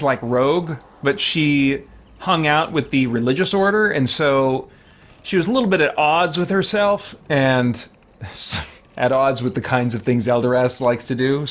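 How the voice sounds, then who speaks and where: A man's voice: medium pitch, fairly bright tone. A man chatting casually and a little self-effacingly while livestreaming a game. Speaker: game streamer.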